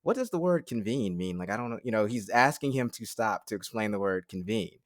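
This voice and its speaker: A man's voice: fairly low pitch, expressive, fairly quick, no room sound, clean studio sound. A man in his forties co-hosting a podcast, asking long, rambling questions with fillers and easy laughs. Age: 40s. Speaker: podcast co-host. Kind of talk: casual podcast interview.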